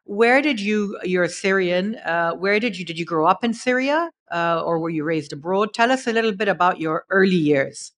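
A woman's voice: fairly low pitch, animated, moderate pace, hardly any pauses, a bit bright. A woman of Egyptian origin who grew up internationally, hosting a conversational interview and rephrasing questions as she goes. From Egyptian, raised in several countries. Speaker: podcast host.

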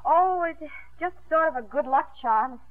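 An actress playing a sweet, earnest young swimming star in a 1950s radio drama, speaking sincerely and a little hesitantly. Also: female voice, high voice, animated, unhurried. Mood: earnest, fond. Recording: tinny 1950s radio recording with music.

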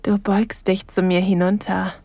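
A sensual, playful woman telling her holiday tale in an audio drama. Her delivery is slow and breathy with a creaky edge, often dropping to a whisper. The sound is close and dry. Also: mid-range voice, little pitch movement, mellow, no room sound. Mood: aroused, intimate. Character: aroused, playful woman on a Spanish holiday, narrating intimately. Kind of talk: intimate scripted audio drama narration.

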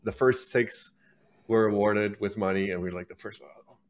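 A man's voice: lowish voice, fairly even intonation, normal speed. A man in his thirties from Germany, speaking with a German accent as a podcast guest, casually telling his story. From Berlin, Germany. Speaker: podcast guest, company executive.

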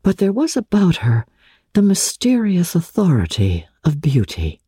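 A woman narrating classic literature as a dramatic reading, with expressive, measured storytelling. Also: on the low side, slightly rough, no room sound, studio quality. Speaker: audiobook narrator.

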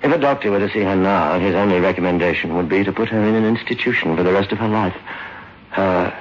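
Grave, secretive man, muffled recording. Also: sits low. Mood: solemn, confiding. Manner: deliberate, ominous, melodramatic. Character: grave, secretive, controlling brother guarding his afflicted sister. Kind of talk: old-time radio horror acting. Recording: muffled, noisy old radio recording.

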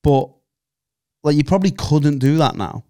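Man co-host, jokey and sweary, slightly high voice, dry mic. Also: very animated, normal speed, mellow, no echo. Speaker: podcast co-host. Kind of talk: casual chat.

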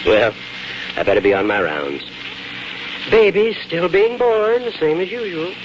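An actor playing a wry, teasing elderly husband in a 1940s radio drama, with a dry, folksy manner. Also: male voice, on the high side, very animated, a little slow. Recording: noisy, muffled 1940s radio broadcast.

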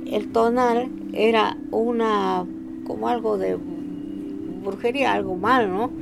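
A woman in an interview, speaking slowly and deliberately. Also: mid-range voice, fairly even intonation, gravelly, background noise.

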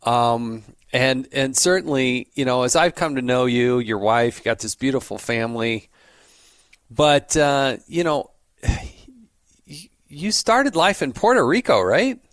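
Middle-aged male host, mid pitch, dry close recording, stumbling and repeating as he asks. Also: animated, no room sound. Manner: halting, repeats words, warm questions. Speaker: interviewer. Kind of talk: conversational interview.

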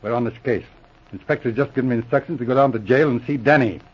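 An actor playing a brisk, gruffly good-humored veteran police officer in a 1940s radio drama. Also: male voice, mid-range voice, natural voice. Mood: brisk, businesslike. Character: brisk, dry, loyal veteran police sergeant and inspector. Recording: muffled old radio recording.

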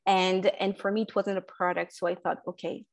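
A woman, talking as a guest in a casual interview, halting with many restarts and fillers and laughing often. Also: mid-range voice, little pitch movement. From France. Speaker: guest, food entrepreneur.

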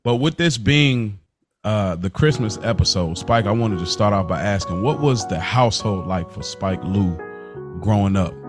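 A man's voice: on the low side, animated, moderate pace. A man co-hosting a podcast in casual, slangy chat, repeating words emphatically to make his point. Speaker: podcast co-host. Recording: music playing behind the voice. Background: background music.

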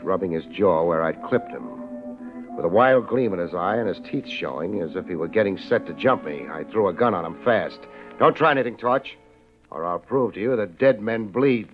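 A muffled old radio recording with music behind a male actor playing a hard-boiled American private eye. His voice is low, and he tells his story in a wry, unhurried, tough manner. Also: lively intonation, close to an everyday voice. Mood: wry, weary, then tough, commanding. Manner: laid-back, wry, hard-boiled delivery. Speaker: lead actor and narrator. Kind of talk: 1950s radio detective drama acting.

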